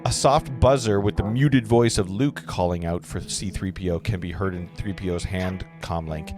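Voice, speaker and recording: Low-voiced man narrating over guitar music. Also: moderate pace. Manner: stumbles over the script. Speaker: narrator reading stage directions. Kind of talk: scripted narration at a table read. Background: clear guitar music.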